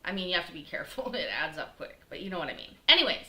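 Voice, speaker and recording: A woman's voice: on the low side, sing-song, rapid-fire, bright, forceful tone. A middle-aged woman hosting a casual cooking livestream from her home kitchen, chatting and rambling with lots of fillers as she talks to viewers by name. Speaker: livestream cooking show host. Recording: echoey kitchen, faint noise.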